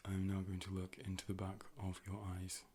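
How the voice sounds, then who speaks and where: A man playing a calm, polite robotic AI optician in an ASMR role play, speaking in a measured, formal, deadpan way. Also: deep voice, close to an everyday voice. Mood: calm, clinical. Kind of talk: ASMR medical role play, personal attention.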